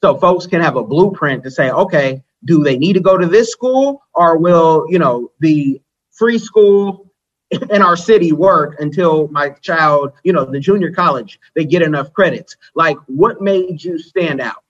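A man's voice: fairly high pitch, a little slow, a bit bright. A man hosting an interview in a casual, conversational way, on lo-fi audio. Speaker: interview host.

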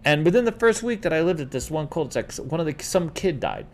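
A man's voice: on the high side, quick pace. Rambling man who keeps saying dude. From New York City. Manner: rambling, animated, says "dude" often. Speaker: host answering viewer questions. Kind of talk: casual livestream chat.

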